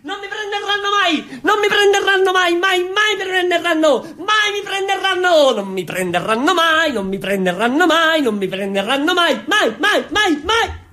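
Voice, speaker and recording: An actor playing an excitable, clownish dreamer of revolution in theatrical comic radio storytelling, exclaiming and repeating his phrases. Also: extremely high pitch, normal speed, hardly any pauses, heavily acted. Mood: frantic defiance. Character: excitable male dreamer revolutionary with magic balls, begging wishes.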